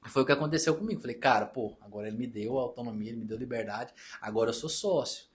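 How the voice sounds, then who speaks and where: A man from Brazil telling stories in a casual podcast chat, acting out the dialogue as he goes. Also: medium pitch. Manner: fast-talking, storytelling, reenacts dialogue. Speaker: accountant and business partner.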